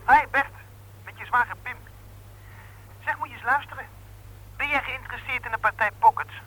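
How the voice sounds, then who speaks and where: An actor playing a breezy, persuasive young brother-in-law pitching a deal in a 1960s radio drama. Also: male voice, moderate pace, sharp tone, breathy edge, heavily acted, rough recording. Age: young adult. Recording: old radio play recording.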